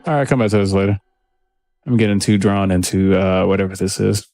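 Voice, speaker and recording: A man with a deep voice chatting casually on a livestream while he makes music, laid-back and rambling as he reads viewer comments. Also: steady tone, brisk, warm tone. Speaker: music producer streaming his session.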